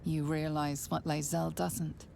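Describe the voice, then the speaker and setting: An actress playing a grave, knowing narrator in a fantasy game, telling the tale in a deliberate, ominous way. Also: female voice, sits low, flat delivery, darkish tone, exaggerated voice. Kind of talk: second-person game narration. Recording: clear background ambient noise.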